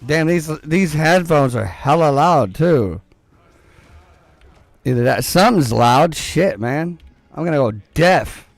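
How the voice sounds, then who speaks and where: A young man with a low voice, streaming a game and chatting casually, rambling and cursing as he plays. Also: animated, slow, vocal fry, dry recording. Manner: rambling, profane, joking, talks to chat and to the game. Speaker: gaming streamer. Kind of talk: casual gameplay commentary.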